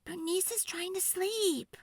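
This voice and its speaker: A woman's voice: very high voice, unhurried, dry recording, voice pushed up. A woman voicing an earnest, stubborn, devoted little girl in a storybook, with heartfelt, hesitant phrasing. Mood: shy, gentle. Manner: hesitant ums and huhs, heartfelt.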